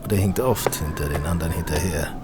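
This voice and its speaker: A man's voice: extremely low pitch, fast talker, loud music behind the voice. A man narrating a scripted fantasy audio drama in a theatrical, sardonic storytelling style.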